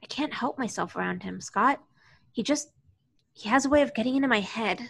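A woman's voice: on the high side, brisk, creaky. A woman reading the role of a guarded, weary young love interest at an amateur table read, understated and wry. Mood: regretful. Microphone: video call.

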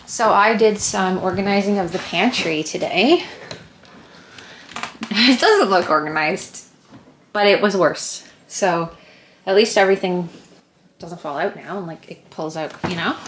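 A woman's voice: fairly low pitch, measured pace, pauses often. A young adult woman talking casually as a vlogger, recorded on a handheld camera or phone. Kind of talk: casual chatty vlog talk. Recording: noisy handheld camera, household and car noise.

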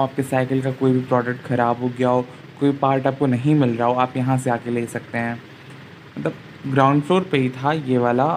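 A young man narrates a casual vlog in an informal, chatty way. His voice sits at an average pitch, with faint noisy ambience behind it. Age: 20s. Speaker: vlogger.

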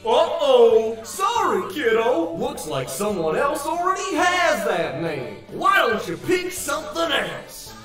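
A woman's voice: sits high, very animated, takes it slowly, hardly any pauses, a bit bright, heavily acted. A woman voicing a relentlessly chipper, patronizing game tutorial announcer in an anime parody. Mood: falsely apologetic. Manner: perky, condescending. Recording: very echoey, distant, music behind.

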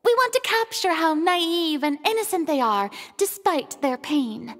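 A woman's voice: very high-pitched, animated, measured pace, in a large hall, faint music behind. A woman voicing a theatrical, commanding young film director in a video game, with dramatic, grand delivery. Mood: confident, instructive.

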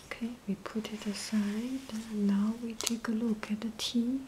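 Woman vlogger, breathy half-whisper, low energy, slow, flat delivery. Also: background noise. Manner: hesitant, many pauses and fillers. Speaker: tea vlogger. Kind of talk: casual show-and-tell narration. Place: indoors at a table, off camera.

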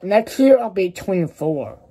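A man in his early twenties chatting casually to a vlog audience in a halting, rambling way, often repeating words and short phrases. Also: high-pitched, sing-song, dark tone. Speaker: vlogger. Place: small laundry room beside a kitchen at home, indoors. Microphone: phone at arm's length.

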